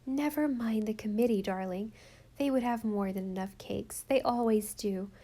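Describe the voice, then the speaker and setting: A mother soothes her young daughter, calm and gently firm. A woman voices her while reading a storybook aloud. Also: a little high, normal speed. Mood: reassuring.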